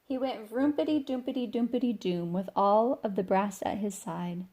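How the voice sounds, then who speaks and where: A woman reading a rhyming children's picture book aloud in an expressive, sing-song way. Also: medium pitch, moderate pace. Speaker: storybook reader.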